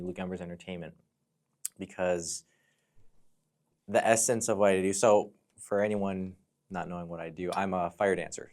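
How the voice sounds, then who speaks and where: A man with an American accent and a notably deep voice. He talks as an interview guest, explaining himself reflectively in a conversational, storytelling style. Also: darkish tone. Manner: reflective, explanatory, often says "you know". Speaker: podcast guest, fire dancer and entrepreneur.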